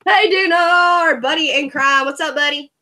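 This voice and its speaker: A woman's voice: high-pitched, normal speed, pushed and bright. A woman from Korea hosting a casual video-call movie review, chatty and sarcastic. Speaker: host.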